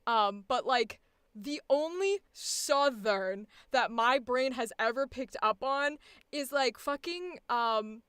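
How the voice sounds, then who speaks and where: A woman voice actor chatting casually about auditions, animated and profane, laughing often. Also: a little high, takes it slowly, smooth, no echo, studio quality. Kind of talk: casual chat.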